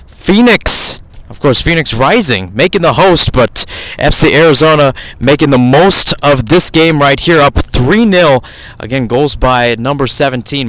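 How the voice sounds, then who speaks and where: A man with a mid-pitched voice commentates live on a soccer game for radio. He follows the play in a conversational, off-the-cuff style, adding asides and correcting himself as he goes. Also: fairly bright tone, dry recording, rough recording.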